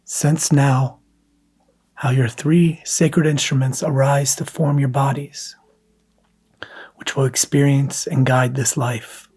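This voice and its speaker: A man guiding a scripted meditation in a soft, hushed, breathy manner, leaving long pauses between phrases. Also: medium pitch. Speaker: meditation guide.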